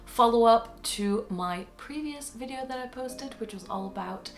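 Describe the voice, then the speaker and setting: A woman's voice: on the high side, unhurried, faint music behind. A young woman from the Netherlands, a native Dutch speaker, talking casually and chattily to viewers in a book haul vlog. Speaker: book reviewer and video host.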